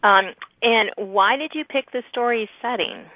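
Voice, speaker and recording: A woman's voice: a little high, measured pace, dry recording, highs cut off. Woman podcast host chatting with guests. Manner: informal, reacts eagerly to answers. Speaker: podcast host interviewing authors. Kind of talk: casual interview chat.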